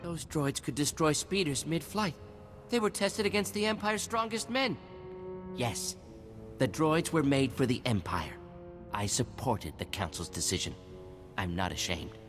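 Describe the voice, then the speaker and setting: An actor playing a proud, arrogant scientist in a video game, formal and defensive, stammering when flustered. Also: male voice, mid-range voice, expressive, measured pace, a bit bright. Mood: nervous, defensive. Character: brilliant, arrogant male droid scientist, defensive and unrepentant. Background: game music.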